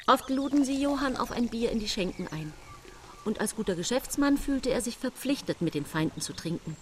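An actress playing a seemingly pious, gentle yet secretly cold 19th-century housewife, confiding her story in a measured radio drama monologue. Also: fairly low pitch, natural voice. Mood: agitated insistence. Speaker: actress narrating in a radio play. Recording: music bed behind studio voice.

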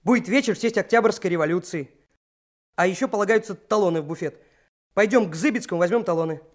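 An actor playing a bold, cheeky young trainee detective in a radio drama, speaking in an excited, urging manner. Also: male voice, high voice, fast, natural voice. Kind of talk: Soviet radio play acting.

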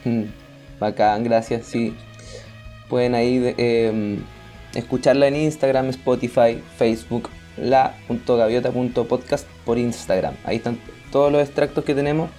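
A man's voice: mid-range voice, steady tone, moderate pace, dark tone, soft background music. A man with a Chilean accent talking casually as an interview guest, in an informal, colloquial and hesitant way. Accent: Chilean Spanish. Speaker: podcast and theatre artist interviewed as guest.

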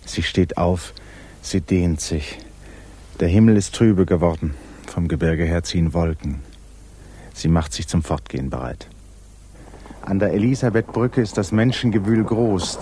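A man narrating a 1950s German literary radio drama with measured, intimate storytelling. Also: low voice, warm tone. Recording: hissy 1950s radio recording.

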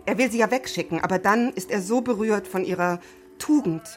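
An actress plays an unsure society woman in a radio drama, speaking haltingly. Her voice is mid-range. Soft music sits faintly behind it. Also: medium pitch, natural voice. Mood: earnest, moved. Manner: hesitant, halting. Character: unsure, faltering society woman faking literary appreciation. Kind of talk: German radio drama acting.

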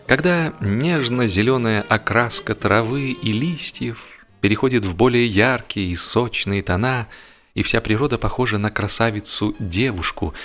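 A man reading a humorous novel as a scripted audiobook, telling it in an expressive, literary way. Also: lowish voice. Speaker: audiobook narrator.

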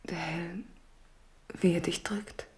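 A woman's voice: on the low side, steady tone, takes it slowly, a little mellow. An actress in a German radio drama playing a king's despairing, fearful mistress, intense and often whispering. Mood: hushed dread. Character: despairing, fearful royal mistress foreseeing her own death.

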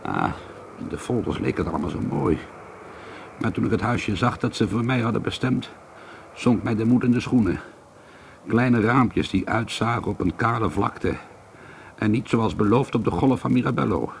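A man's voice: lowish voice, moderate pace, vocal fry. An actor playing a troubled, bitter schoolteacher and painter in a radio drama, hesitant and confiding, repeating himself as he broods. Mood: reflective narrating. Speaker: lead actor and first-person narrator. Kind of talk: radio drama monologue and dialogue. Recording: noisy old radio play recording.

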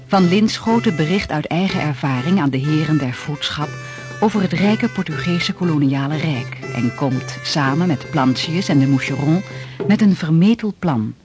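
An actor narrating a historical radio play in a formal, measured, declamatory way. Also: male voice, fairly high pitch, lively intonation, lightly acted. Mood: stately. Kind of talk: scripted narration. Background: music.

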